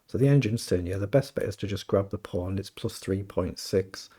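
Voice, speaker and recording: Man, British accent, slightly croaky, husky, casual chess commentary, thinking aloud. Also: low voice, moderate pace, mellow. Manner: thinking aloud, self-questioning. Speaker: chess streamer. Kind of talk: casual live game commentary.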